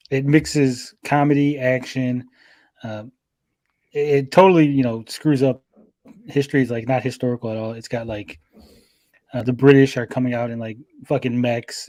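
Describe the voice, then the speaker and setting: Mellow-voiced man chatting casually. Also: mid-range voice, dry recording. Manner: blunt, sweary, halting. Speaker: podcast panelist. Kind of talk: casual chat.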